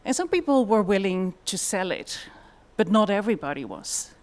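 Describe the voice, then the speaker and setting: A woman's voice: mid-range voice, very animated, normal speed, a little mellow. A woman with a Dutch accent giving a conference presentation in a thoughtful way, with frequent fillers. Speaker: conference speaker.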